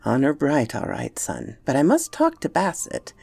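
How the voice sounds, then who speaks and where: A woman's voice: sits low, huge pitch swings, normal speed, creaky, darkish tone, breathy edge, no room sound, soft background music, full character voice. A woman voicing a jovial, indulgent grown uncle with a teasing, hearty manner in a storybook reading. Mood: probing.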